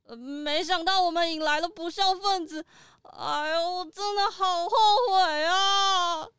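Woman as a fretful young innkeeper, very high voice. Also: steady tone, a little slow, pushed and bright, no room sound, clean studio sound, heavily acted. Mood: regretful. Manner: fretful, pleading. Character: earnest young innkeeper anxious about frail grandfather. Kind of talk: children's audio drama acting.

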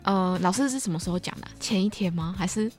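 A woman's voice: mid-range voice, quick pace, soft background music. A young adult woman with a Taiwanese Mandarin accent, chatting casually and expressively as a podcast speaker. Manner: expressive, exclamatory. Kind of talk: casual chat.

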